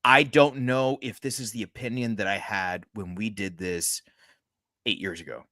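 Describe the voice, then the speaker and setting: A man co-hosting a horror movie podcast in casual chat, excitable, laughing often and swearing when worked up. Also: on the low side, moderate pace, no room sound, clean studio sound. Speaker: podcast co-host.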